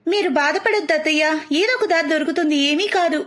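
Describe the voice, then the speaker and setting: A woman's voice: very high-pitched, quick pace, a bit bright, pitched above the performer's own voice. A woman voicing a caring, eager young wife and daughter-in-law in a cartoon story. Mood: reassuring.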